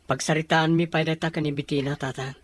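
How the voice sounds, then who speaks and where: A man's voice: a little high, level tone, quick pace, natural voice. A man playing a respectful young groom-to-be in an audio drama, with an Ilocano accent. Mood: hopeful, respectful. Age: young adult.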